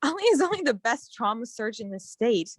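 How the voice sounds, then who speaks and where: A woman acts a young female paramedic in a drama table read. She is blunt and teasing, with very lively intonation in a dry space. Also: fairly high pitch, fairly quick, dry recording. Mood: exasperated. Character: sassy, blunt young female emergency medical technician. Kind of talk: screenplay table read acting.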